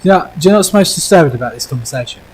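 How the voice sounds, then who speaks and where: A British man hosts a game stream and trades jokes with friends over voice chat. His voice sits a little high, and his pitch swings freely as he talks. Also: animated, rapid-fire, a little hiss or hum, low-quality recording. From Britain. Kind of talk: casual voice chat while gaming.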